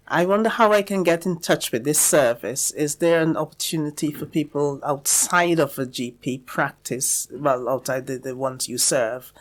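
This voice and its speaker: Woman, radio interviewer, slightly low voice, encouraging, halting phrasing, conversational.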